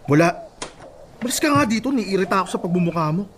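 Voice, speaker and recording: Pushy, domineering husband, animated delivery. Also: male voice, sits high, quick pace, noisy background. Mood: angry, irritated. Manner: insistent, demanding. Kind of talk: radio drama acting.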